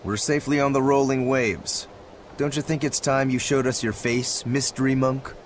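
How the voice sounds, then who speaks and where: An actor playing a practical, watchful sailor with a casual, dry manner in a game cutscene. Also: male voice, mid-range voice, fairly even intonation, normal speed, slight background noise, close to an everyday voice. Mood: teasing. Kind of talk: animated game cutscene acting.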